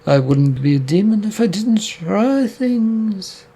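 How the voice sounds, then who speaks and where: Sly possessing demon, slow taunting delivery, husky low tone, read by a man. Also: very animated, warm tone, heavily acted. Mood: coaxing sly. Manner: taunting, coaxing, drawn-out.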